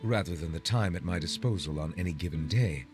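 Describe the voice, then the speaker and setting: A man's voice: sits low, fairly quick, close to an everyday voice. A man playing a stately, polite and literal-minded chief judge in a video game, reserved and precise. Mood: formal, composed. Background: faint music with guitar.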